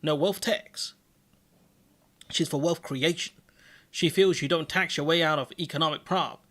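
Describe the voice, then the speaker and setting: A man hosting a live political chat. His voice sits a little high, and he talks in an exasperated, sarcastic rant full of false starts as he picks apart viewers' takes. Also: measured pace, a bit bright. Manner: exasperated, sarcastic ranting with many restarts. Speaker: livestream host. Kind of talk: political commentary livestream.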